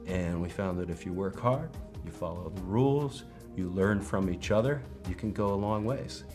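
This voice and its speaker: A man's voice: low-pitched, moderate pace. A middle-aged man speaking as a franchise owner in an interview testimonial. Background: music.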